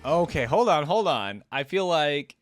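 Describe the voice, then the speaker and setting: A man's voice: a little high, big pitch swings, measured pace, no echo, soft background music. A man hosting a reaction video, giving casual, informal commentary close to the microphone. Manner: chatty, informal.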